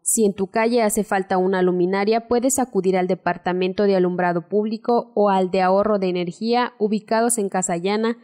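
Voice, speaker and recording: A woman's voice: medium pitch, a little fast, hardly any pauses. Woman reading news voice-over. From Mexico. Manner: steady, formal reading. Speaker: news narrator.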